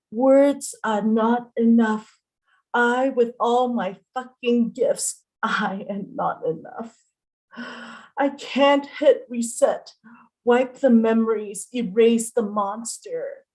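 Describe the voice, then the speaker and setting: A middle-aged woman poet performs at an open mic. She speaks slowly and deliberately, with an earnest manner. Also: fairly high pitch, a little mellow, low-quality recording. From Singapore. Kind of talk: spoken introduction to open mic poetry. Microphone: online video call at home.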